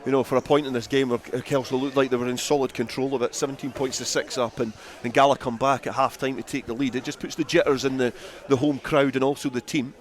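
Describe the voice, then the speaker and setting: A man calls a rugby match live for radio. He is the play-by-play commentator, working outdoors at the ground with a mid-pitched voice. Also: fairly even intonation, fast, hardly any pauses.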